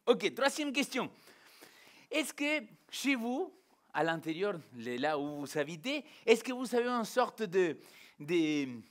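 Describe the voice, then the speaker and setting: A man from Argentina, a native Spanish speaker, preaching as a pastor in an informal, interactive way, putting questions directly to his listeners. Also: sits high. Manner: animated rhetorical questions, addressing listeners directly. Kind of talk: informal interactive sermon to a congregation.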